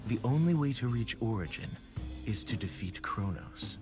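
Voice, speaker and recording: Smug, smooth-talking scheming male director, acted by a man. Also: medium pitch, expressive, normal speed, close to an everyday voice. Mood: smug, explanatory. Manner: polite, condescending, insinuating. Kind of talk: video game cutscene acting. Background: background music.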